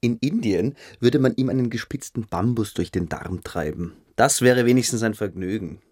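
An actor playing a sinister, cynical schoolboy mystic in a radio play, drawling with contempt. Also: male voice, lowish voice, expressive, moderate pace, darkish tone, breathy edge. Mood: contemptuous, cruel. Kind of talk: literary radio play acting.

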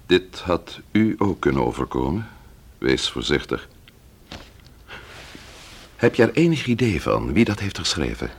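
An actor playing a calm, courteous police inspector in a Dutch radio play, asking measured, probing questions. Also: male voice, sits low, a little hiss or hum, exaggerated voice.

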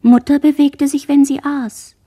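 A woman playing a dazed, traumatized girl survivor in a halting, introspective radio drama monologue that dips into whispers. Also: high voice, little pitch movement, dark tone. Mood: dreamy, drifting. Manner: halting, fragmented, introspective delivery, dropping into whispers.

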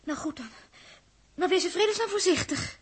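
A woman with a Netherlands Dutch accent playing an anxious, tender girl aboard a spaceship in a 1960s radio drama, emotive and halting, often muttering to herself. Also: extremely high pitch. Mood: anxious, pleading.

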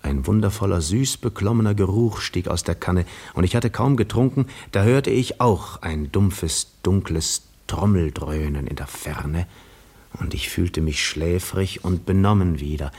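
An actor playing a weary, dreamy seafarer who narrates his own voyage in measured, literary 1950s German radio drama style. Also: deep voice, darkish tone, slightly rough, heavily acted. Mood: dreamy, spellbound.

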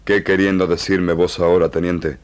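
A low, grave male chief of the pampas, played by an actor for Argentine radio drama. He speaks with an Argentine accent in solemn, broken phrases, dignified and measured. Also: level tone, smooth, lightly acted. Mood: measured, reserved.

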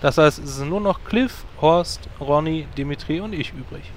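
An actor playing a guild leader who dismissively turns down a recruit in a matter-of-fact tone. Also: male voice, fairly high pitch, moderate pace, slight background noise, natural voice. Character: blunt male guild leader judging recruits.

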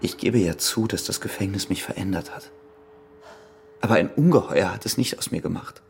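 An actor playing a bitter, jealous ex-convict husband with a terse, sardonic way of talking in a radio crime drama. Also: male voice, low-pitched, moderate pace, slight background noise. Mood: wounded, accusing. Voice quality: gravelly, husky.

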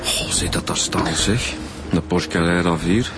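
An actor playing a down-to-earth junior police detective in a radio drama, blunt and colloquial, with a Flemish accent. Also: male voice, low voice, fairly even intonation, unhurried, heavy background noise. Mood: impressed. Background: music.

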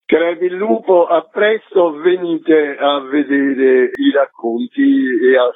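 A man's voice: a little high, lively intonation, slow pace, dry recording. A man being interviewed on the radio as a festival's artistic coordinator, explaining in eloquent, rhetorical phrases full of lists. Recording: narrow phone-like line on radio.

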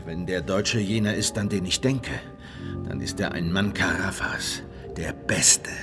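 A hardened rebel veteran with a very deep voice for radio drama. He sounds grim and determined, terse and intense. Music plays clearly behind him. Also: measured pace. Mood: urgent. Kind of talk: German radio drama acting and narration.

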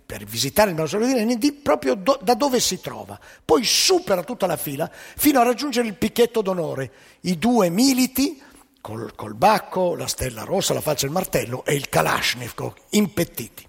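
Animated husky storyteller man. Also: sits high, normal speed. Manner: animated, wry. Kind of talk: theatrical joke telling. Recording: live hall with long reverb.

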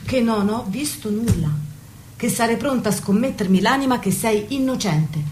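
A woman's voice: fairly high pitch, normal speed, a little mellow, slight background noise, natural voice. A woman acting a worldly, loyal confidante in an intimate stage-style drama, blunt, protective and indignant. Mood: reassuring, indignant. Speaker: stage actress. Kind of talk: intimate theatrical radio drama.

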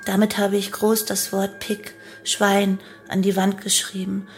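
A woman's voice: medium pitch, completely flat delivery, a little slow, natural voice. An actress playing a young female cult killer in a radio play, confessing in a detached, exalted way. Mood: cold, detached. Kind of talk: first-person radio play monologue. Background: music bed.

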